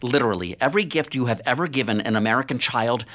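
An actor playing a smug morning-show TV interviewer in an audio drama, fawning one moment and prosecutorial the next, with a slick delivery. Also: male voice, medium pitch, quick pace, fairly bright tone, natural voice.

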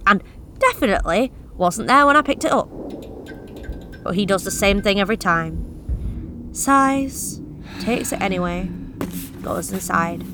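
A woman's voice: a little high, a bit bright, a little hiss or hum. A young woman reading a first-person horror story in a wry, confessional, conversational way. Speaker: narrator.

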